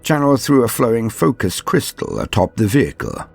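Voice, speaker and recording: A man hosting a lore guide, reading scripted narration in a theatrical, measured and formal manner. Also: mid-range voice, huge pitch swings, creaky, a little mellow, faint music behind. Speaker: host of a Warhammer lore guide.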